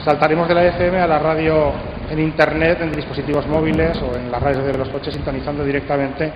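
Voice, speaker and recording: Spanish moderator guy, slightly high voice, talks to the room in chunks, very echoey. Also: little pitch movement, brisk. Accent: Spain. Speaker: speaker moderating a congress session. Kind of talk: conversational address to an audience. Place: large hall at a radio drama congress. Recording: echoey hall, dull sound, clear background noise.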